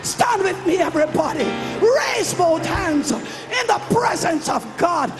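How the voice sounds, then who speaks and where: A male preacher delivers a fervent charismatic sermon in a very high, shouted voice. He declaims slowly and repeats phrases for emphasis. Music plays prominently behind him. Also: lively intonation. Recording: live worship service with music and crowd. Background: music and congregation.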